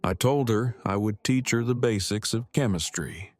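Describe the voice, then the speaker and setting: A man's voice: fairly low pitch, normal speed, close to an everyday voice. A man acting an awkward, earnest, bookish character in an audio drama, speaking in stilted, wordy, formal phrases. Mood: matter-of-fact, formal.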